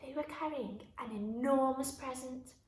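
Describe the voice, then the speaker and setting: A woman storyteller narrating a picture book for children in an expressive, deliberate way. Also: high voice. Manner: animated, deliberate. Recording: roomy echo, faint background noise.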